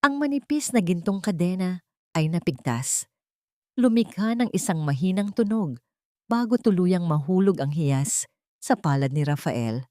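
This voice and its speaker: A woman's voice: fairly low pitch, very animated, measured pace, a little mellow, no echo, studio quality. A woman reading a scripted, dramatic audio story as its narrator.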